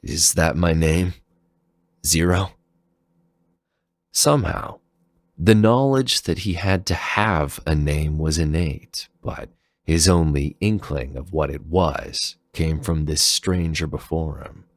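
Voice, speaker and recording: Very deep, gravelly male narrator. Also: no echo. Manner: measured, deliberate. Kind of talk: dramatic scripted fan fiction narration.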